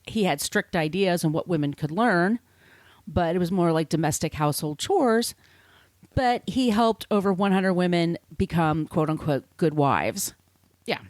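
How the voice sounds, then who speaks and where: Woman podcaster, conversational storyteller, very animated intonation, irreverent, dry studio sound. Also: fairly low pitch, measured pace, no room sound. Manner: irreverent, casually sweary. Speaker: podcast host. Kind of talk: conversational storytelling from researched notes.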